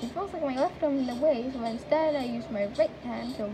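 A woman reading game narration aloud in a casual way, quick and stumbling over words. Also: high-pitched, over music. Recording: noisy home recording.

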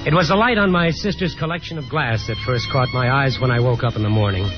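A man's voice: on the high side, wildly swooping pitch, quick pace, dull audio, music in the background. An actor playing a brooding, sardonic, wistful young man in a 1950s radio drama. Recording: band-limited 1950s radio broadcast.

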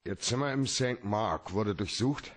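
An actor playing a hard-boiled, cynical private detective in a German radio play, terse and dryly probing. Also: male voice, on the low side, measured pace.

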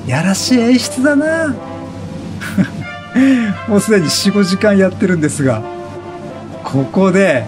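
An excitable man hosting a gameplay video. His voice is high and swings widely in pitch as he exclaims and repeats himself, with music playing behind him. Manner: exclamatory, repeats words in rapid bursts; laughs often while talking. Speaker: let's play host.

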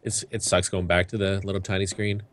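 A man joking around with friends during a game, quick-witted. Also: low-pitched, level tone. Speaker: game player. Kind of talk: casual gaming chat.

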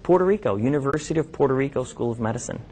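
A man in his thirties, originally from New York, chats informally with a room of medical students. His pitch sits in an ordinary middle range, and he hesitates often, circling back with ums and false starts. The sound comes from a camera across an indoor classroom lab. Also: expressive, very fast, darkish tone. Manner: conversational, hesitant, with many ums and restarts. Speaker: physician speaking to medical students. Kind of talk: informal talk with questions from the audience.

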